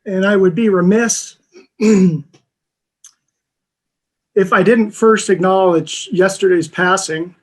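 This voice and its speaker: A man with a fairly high voice gives an acceptance speech on a video call. He speaks earnestly and haltingly, with frequent pauses. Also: fairly even intonation. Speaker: award recipient. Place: video call from an indoor room. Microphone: video call microphone.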